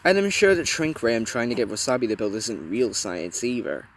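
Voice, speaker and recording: A man voicing an excitable, teasing young male mascot who loves comics and monsters. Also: mid-range voice, moderate pace, slight background noise, close to an everyday voice. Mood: playful.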